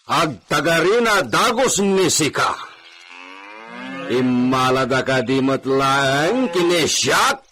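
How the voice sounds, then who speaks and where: A man's voice: medium pitch, sing-song, slow pace, exaggerated voice. A man playing an angry, suspicious, domineering wealthy don on a ranch in an Ilocano radio drama, swinging from brooding to outbursts. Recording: loud music bed behind the voice.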